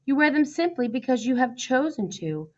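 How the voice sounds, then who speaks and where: A woman voicing a calm, gracious young prince in a storybook, admiring and patient, explaining gently and in a measured way. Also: high-pitched, lo-fi sound, voice pushed up. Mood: gentle, assured. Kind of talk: storybook character voice.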